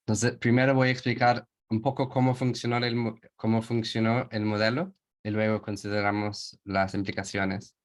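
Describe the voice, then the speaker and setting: A man's voice: lowish voice, little pitch movement, moderate pace. A man from England with an English accent presenting a webinar in a second language, hesitant and searching for words.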